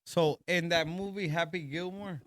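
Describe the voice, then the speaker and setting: A man's voice: fairly high pitch, steady tone, moderate pace, a bit bright, dry recording, studio quality. A man with a Puerto Rican accent, co-hosting a casual podcast chat, insistent and laughing as he talks back with some swearing. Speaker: podcast co-host.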